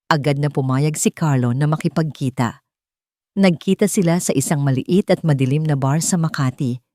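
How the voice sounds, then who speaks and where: A woman's voice: sits low, a little mellow, no echo, studio quality. A woman with a Filipino accent narrating a scripted story in a dramatic storytelling style. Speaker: narrator of audio love stories.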